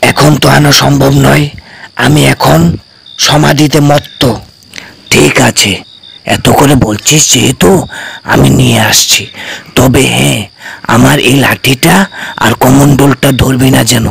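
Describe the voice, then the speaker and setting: A man's voice: mid-range voice, steady tone, measured pace, vocal fry, long pauses, a bit bright, breathy edge, exaggerated voice. A man playing a wicked, haughty tantrik guru who speaks commandingly in a fairy-tale cartoon. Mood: stern, grudging. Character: wicked, imperious male tantrik sorcerer guru.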